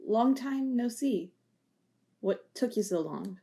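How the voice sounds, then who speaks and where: A sardonic former police officer carrying heavy guilt, played by a woman. Her voice sits fairly high, and she jokes drily even when she turns impassioned. The acting has an amateur audio-drama feel. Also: slow. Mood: dry. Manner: wry, sarcastic, turning impassioned.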